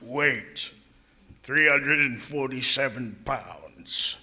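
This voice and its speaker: A man reading an ominous 1940s radio show opening in a husky voice. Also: mid-range voice, lively intonation, very slow, sharp tone.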